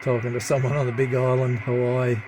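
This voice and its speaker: A man giving a casual hands-on demonstration as a radio hobbyist, with a low-key, halting delivery. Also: monotone. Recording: noisy room with radio static. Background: CB radio chatter and static.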